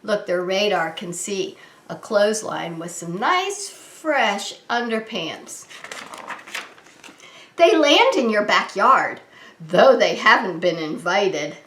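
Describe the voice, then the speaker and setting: Preschool teacher lady doing story time, slow sing-song voice talking to little kids, echoey room. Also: medium pitch, slight background noise. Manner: animated, sing-song, talking to small children. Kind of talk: children's story time read-aloud.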